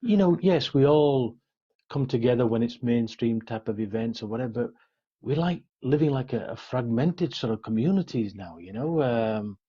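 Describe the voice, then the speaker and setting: A middle-aged Yorkshireman talks earnestly as an interview guest. His voice is soft-edged and mellow, with a husky grain. The sound is dull and lo-fi. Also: mid-range voice, animated, moderate pace. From Yorkshire, England. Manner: frequent "you know" and "sort of". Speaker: interview guest, police officer.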